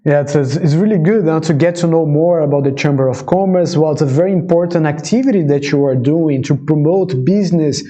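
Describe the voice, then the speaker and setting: A man hosts an interview, chipping in encouraging remarks. His tone is very dark and mellow, sitting a bit high in pitch for a man. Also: a little fast, hardly any pauses, highs cut off. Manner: encouraging, laughs often. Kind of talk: friendly interview.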